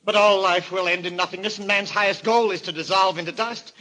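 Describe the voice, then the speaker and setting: An actor playing a mad murderer who believes a destroyer god possesses him, fervent and theatrical, in old radio mystery style. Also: male voice, high voice, fairly even intonation, sharp tone. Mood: exalted. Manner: fervent, theatrical, halting when afraid.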